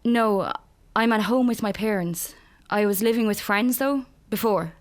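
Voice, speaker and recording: Woman as a guarded, deadpan young Irishwoman. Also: medium pitch, unhurried, close to an everyday voice. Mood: low, reluctant. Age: young adult. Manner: hesitant, deadpan. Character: guarded, wry, depressed young woman in therapy. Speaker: actor in a radio drama. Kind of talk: naturalistic radio drama acting.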